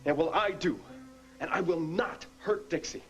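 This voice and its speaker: An actor playing a contemptuous former con man, now trying to go straight, in a soap-opera drama, with music clearly underneath. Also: fairly high pitch, normal speed, fairly bright tone. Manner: contemptuous, clipped, defensive. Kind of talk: daytime soap drama acting.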